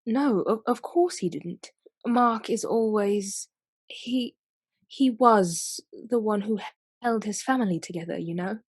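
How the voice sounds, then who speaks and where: A woman's voice: mid-range voice, slow pace, slightly rough, dry recording, studio quality. A woman acting as a genteel, emotional Edwardian lady of the house in a radio play. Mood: shocked, grieving. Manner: genteel, dramatic.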